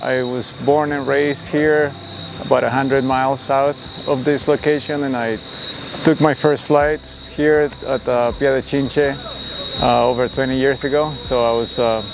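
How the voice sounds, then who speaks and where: A man from Colombia, a paraglider pilot, telling his story in a reflective, measured documentary interview. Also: mid-range voice, level tone, creaky, muffled recording, background noise.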